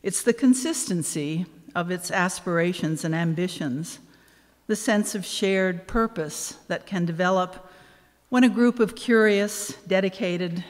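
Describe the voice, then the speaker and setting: A woman of around seventy giving a measured, formal welcome speech with dry humor from a podium microphone on stage. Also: lowish voice. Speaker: event host, anniversary committee co-chair. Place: on stage in a large hall. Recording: reverberant concert hall.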